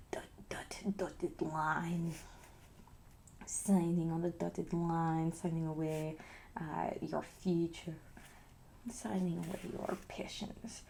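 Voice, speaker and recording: A grandiose, blunt artist speaks in soft, haughty whispers with a put-on foreign accent that keeps slipping, as a woman acts her out. The voice is low and gravelly with a dark, mellow tone, and her delivery stays deadpan. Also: a little hiss or hum. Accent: put-on foreign accent that slips. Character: pretentious eccentric female artist, grandiose and blunt. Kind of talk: whispered ASMR roleplay.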